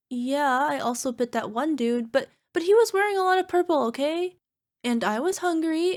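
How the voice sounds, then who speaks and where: High-pitched, playful little cyclops girlfriend played by a woman. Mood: defensive, pleading. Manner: evasive, cutesy excuses, drawn-out wheedling. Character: playful, sheepish flying cyclops monster girlfriend, small and defensive. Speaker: voice actor. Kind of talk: ASMR girlfriend roleplay.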